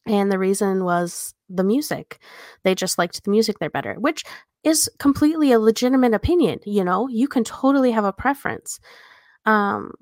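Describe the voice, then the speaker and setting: A woman's voice: medium pitch, lively intonation, normal speed, darkish tone, no room sound, studio quality. A woman co-hosting a podcast, talking casually and earnestly as she explains her view and poses questions. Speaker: podcast co-host.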